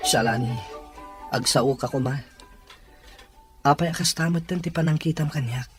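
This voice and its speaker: A man's voice: a little high, normal speed, over music, lightly acted. A man with an Ilocano Filipino accent playing an anxious, earnest young boyfriend in a radio drama. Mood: pleading.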